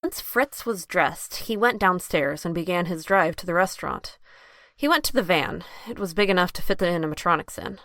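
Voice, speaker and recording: A woman's voice: lowish voice, lively intonation, normal speed, fairly bright tone, no echo. A woman narrating a scripted audio drama as its storyteller.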